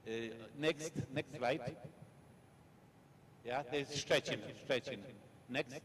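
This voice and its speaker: Halting Polish-accented male lecturer. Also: medium pitch, fairly bright tone, slight background noise. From Poland. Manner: halting, repetitive, short fragmented phrases. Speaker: guest lecturer. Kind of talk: academic lecture with slides. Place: lecture hall.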